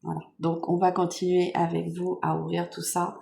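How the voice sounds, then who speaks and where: A French woman with a cold, hoarse and nasal, chatting softly and rambling with many fillers as she unboxes gifts at home. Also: lowish voice, completely flat delivery, normal speed, a little hiss or hum. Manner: soft-spoken, meandering with many fillers. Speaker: vlogger. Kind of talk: casual chat unboxing makeup gifts.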